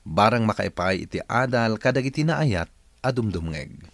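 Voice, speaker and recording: A man's voice: lowish voice, lively intonation, normal speed, dry recording. A man with an Ilocano Filipino accent playing a radio drama host who reads out listeners' life stories. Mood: solemn, storytelling. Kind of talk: scripted radio drama narration.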